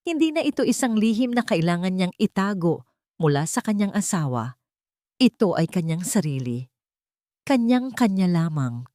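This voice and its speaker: A woman's voice: medium pitch, big pitch swings, normal speed, warm tone, no echo, clean studio sound. A woman with a Filipino accent reading a scripted, dramatic love story as its narrator.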